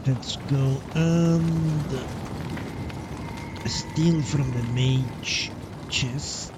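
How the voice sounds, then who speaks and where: A man's voice: fairly high pitch, fairly even intonation, very slow, noisy background. A man giving casual live game commentary, muttering to himself and swearing freely. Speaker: game streamer. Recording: loud game music behind voice. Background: game music and sound.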